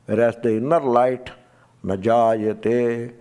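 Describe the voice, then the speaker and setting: A man giving a spiritual discourse, measured and emphatic, dropping to quiet asides and chanting scripture verses slowly. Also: mid-range voice, little pitch movement, clean tone. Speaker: spiritual teacher.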